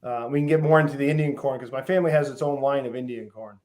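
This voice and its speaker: A middle-aged man from Western Massachusetts, a guest on an interview show, telling stories in a relaxed way with many pauses and lists. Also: mid-range voice. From Western Massachusetts, USA. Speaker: guest, fish farm founder. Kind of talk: casual interview.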